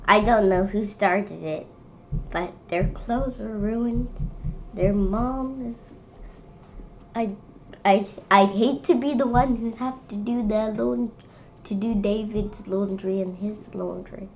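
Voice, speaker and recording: A young, school-age female reader presenting a picture book read-aloud with chatty, expressive commentary. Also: mid-range voice, takes it slowly, muffled recording, slight background noise. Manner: expressive, calls out quoted lines loudly.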